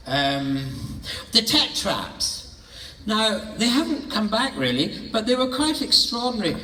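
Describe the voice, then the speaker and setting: An older Scottish man tells stories in a high, sharply bright voice that leaps between tones. He is playful and theatrical as he answers questions on stage. His voice carries through a big echoing theatre and sounds some way from the mic. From Scottish Highlands. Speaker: guest actor answering audience questions. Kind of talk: theatre-show Q&A storytelling. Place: large theatre hall. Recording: stage mic in a reverberant hall, heard from a distance.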